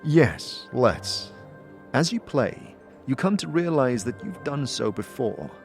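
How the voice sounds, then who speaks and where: A man's voice: mid-range voice, sing-song, slow, gravelly, soft background music. A man narrating an audio drama and speaking as its lead, with hesitant "uh"s in his lines. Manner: hesitant, with filler "uh"s in dialogue.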